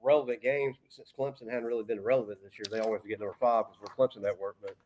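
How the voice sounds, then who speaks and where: A man's voice: fairly low pitch, fairly even intonation, fast talker, a little mellow. A man chatting casually and informally as host of a sports talk show, rambling as he goes. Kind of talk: casual chat.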